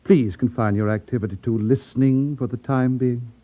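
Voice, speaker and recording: An actor playing an elderly, over-polite racketeer boss with veiled menace in a 1950s radio drama, fussy and condescending. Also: male voice, medium pitch, steady tone, normal speed, close to an everyday voice. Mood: brisk, businesslike. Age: older. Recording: tinny, narrow-band vintage radio recording.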